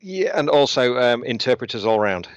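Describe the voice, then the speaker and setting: A man co-hosting a casual motorsport podcast, chiming in with quick interjections and wry asides. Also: medium pitch, very animated, dry recording. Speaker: podcast co-host. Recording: dry, dull lo-fi sound.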